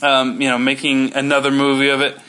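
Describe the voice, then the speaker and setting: A man's voice: mid-range voice, monotone, a little fast, bright, forceful tone, low-quality recording. A man in his thirties co-hosting a podcast, rambling with lots of fillers and restarts. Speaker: podcast co-host. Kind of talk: casual chat.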